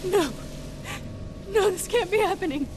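A woman's voice: very high voice, unhurried, very noisy. An actress voicing a desperate, guilt-ridden young woman agent in a video game cutscene, pleading and crying out. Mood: frantic. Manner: pleading, frantic, often crying out. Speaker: game character. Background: dramatic music.

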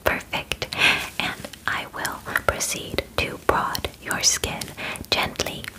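A young woman plays a calm alien examiner in an ASMR roleplay. The voice is whispered and very slow, close on the microphone. The manner is formal and methodical, gently reassuring the human under inspection. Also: close to an everyday voice, poor audio. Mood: calm, clinical. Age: 20s. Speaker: ASMR performer. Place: bedroom studio, indoors.

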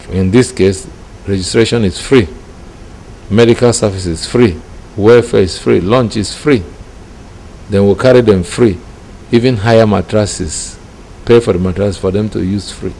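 A middle-aged Nigerian man giving an interview testimony as a ministry leader, speaking deliberately in short phrases. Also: lowish voice, warm tone, poor audio. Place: small indoor room with curtains. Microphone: handheld interview microphone. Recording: clear background noise.

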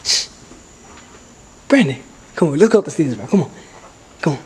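A young man vlogging with a handheld camera as he explores a dark abandoned building at night, talking in loose slang and dropping to whispers. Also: fairly high pitch, moderate pace, breathy edge. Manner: slangy, excitable, often hushed to a whisper. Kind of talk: casual handheld vlog. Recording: noisy camera audio.

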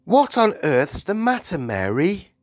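A woman's voice: lowish voice, big pitch swings, measured pace, no room sound, voice pushed up. A woman voicing an imperious, well-bred Queen in an audiobook, with grand, emphatic, exclamatory delivery. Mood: agitated, concerned.

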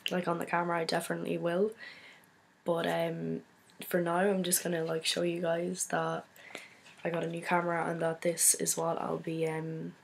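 A young woman from Donegal with an Irish accent, hoarse from a cold, chatting casually to her laptop webcam in a small bedroom and rambling with many fillers. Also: lowish voice, completely flat delivery, moderate pace, slight background noise. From Donegal, Ireland. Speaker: photographer vlogger. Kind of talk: casual chat to camera.

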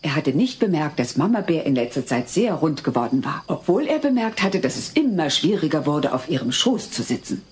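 A woman's voice: low voice, big pitch swings, a little fast, hardly any pauses, a little mellow. An actress playing a mother who reads a bedtime storybook aloud in an audio drama. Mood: gentle storytelling.

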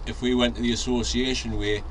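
A man's voice: medium pitch, level tone, a little fast, rough recording. Chatty Northeast English man in a garden. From North East England. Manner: rambling, chatty, lots of ums and restarts. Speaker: allotment vlogger. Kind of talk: casual chat to camera. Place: outdoor allotment garden, then indoors in a shed. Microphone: handheld camera mic, then fixed camera. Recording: outdoor ambience with sirens and traffic. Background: outdoor ambience, traffic.

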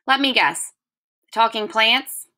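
Lady reading an eager little storybook boy, high bright voice, telling what he did. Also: animated, slow, full character voice. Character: eager, helpful schoolboy son in a storybook.

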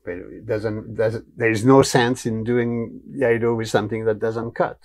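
Older French man, gravelly, animated and blunt storyteller in a studio interview. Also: fairly low pitch, normal speed. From France. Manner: blunt, animated, gestures with hands while talking. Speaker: guest, martial arts teacher. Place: small studio room with wooden cabinets, indoors. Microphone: lapel mic.

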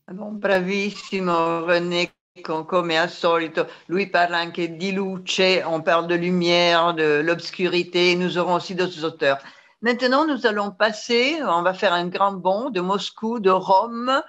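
A woman hosts the event over a video call, moving briskly from one speaker to the next. Her audio comes through a bit dull and muffled. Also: fairly low pitch, a bit bright. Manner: brisk, mixes in Italian phrases. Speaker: event host. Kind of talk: introducing speakers. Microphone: webcam on a video call.

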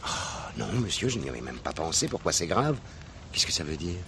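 A man's voice: sits low, big pitch swings, fairly bright tone. An actor playing an older, distressed, apologetic father in a French radio drama, speaking hesitantly and helplessly. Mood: hesitant, worried. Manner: hesitant, plaintive, halting. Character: distressed retired father, apologetic, helpless with his wayward daughter. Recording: noisy old radio drama recording.

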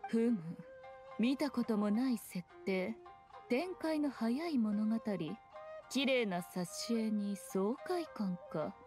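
A haughty fox shrine maiden, high-pitched and composed. She speaks in lordly, archaic phrasing like a sly game character who knows more than everyone, over soft music. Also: lively intonation, takes it slowly. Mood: composed, authoritative. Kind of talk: scripted video game dialogue.

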